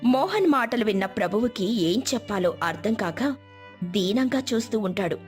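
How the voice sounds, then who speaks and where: A woman's voice: medium pitch, expressive, normal speed, faint music behind. A woman narrating a scripted moral story as a storyteller.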